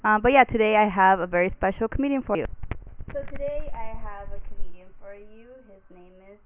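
Woman podcast host, mid-pitched voice, chatty, casual, giggly, phone-like audio. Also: a little fast, slight background noise. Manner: chatty, giggly, lots of likes.

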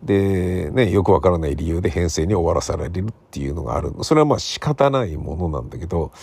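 Middle aged guy rambling on his solo podcast, raspy low voice, chatty, recorded on his phone. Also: expressive, quick pace, no room sound. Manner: rambling, conversational, seeking agreement with soft sentence endings. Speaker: podcast host and radio drama writer. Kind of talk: casual solo podcast monologue. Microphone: smartphone recording.

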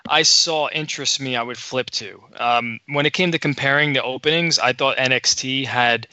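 A man co-hosting a podcast, talking in a halting way that repeats words as he thinks aloud. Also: medium pitch, bright, forceful tone, no echo. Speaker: podcast co-host. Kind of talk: casual podcast chat. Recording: dull lo-fi podcast audio.

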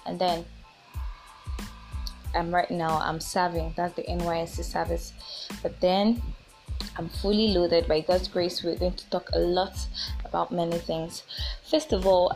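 A woman's voice: fairly low pitch, low-quality recording. Young Nigerian woman podcasting over prominent music. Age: 20s. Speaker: podcast host. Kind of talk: informal solo podcast monologue.

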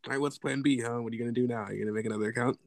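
A man's voice: mid-range voice, fairly even intonation, rapid-fire, gravelly, no echo. A young man co-hosting a casual sibling podcast, teasing and needling his sister in a mock-serious way. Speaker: podcast co-host. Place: apart from his co-host, remote recording.

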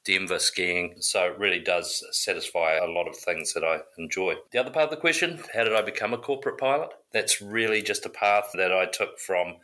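Low, bright-voiced man from New Zealand. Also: brisk, hardly any pauses. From New Zealand and South Africa. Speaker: corporate pilot, podcast guest. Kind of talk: conversational interview answers.

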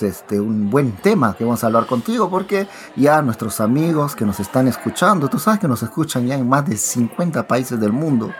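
Lively-voiced man hosting. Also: medium pitch. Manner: friendly, chatty, repeats words. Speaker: podcast host. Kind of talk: casual interview for learners.

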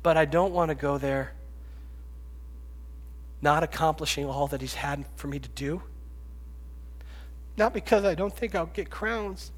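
A man in his fifties preaching a sermon, rising to loud pleading and dropping to hushed whispers. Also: on the high side, a little slow, long pauses. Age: 50s. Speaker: pastor. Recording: long reverb, echoey hall.

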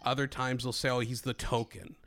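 Man, talk host, emphatic, mid-pitched voice. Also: flat delivery, a little fast, a bit bright. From Greece. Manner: emphatic, repeating words for agreement. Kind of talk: casual political conversation.

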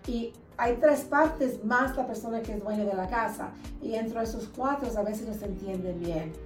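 A woman in her fifties with a fairly high voice speaks conversationally, mixing in English words. She sits a little away from the mic in a very echoey room, with music underneath. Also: moderate pace, distant mic. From United States. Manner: mixes English words into Spanish. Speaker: lawyer, podcast guest. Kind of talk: conversational interview answers.

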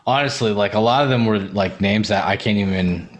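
A man's voice: on the low side, a little hiss or hum. A man hosting a podcast, chatting casually and enthusiastically, swearing often and stumbling over repeated words as he gushes. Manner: profane, gushing, halting repetitions. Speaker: podcast host.

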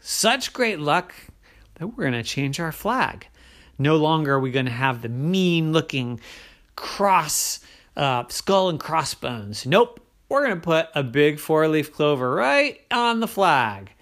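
A man voicing a gruff, boastful male pirate captain in a bedtime story, drawing out his words in pirate talk. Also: on the high side, big pitch swings, slow, fairly bright tone, exaggerated voice. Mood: grateful.

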